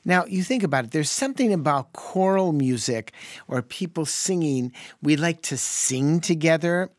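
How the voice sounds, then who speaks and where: A man's voice: a little high, animated, moderate pace, no echo. A man on a radio health call-in show, explaining things in an easygoing way and often restarting sentences.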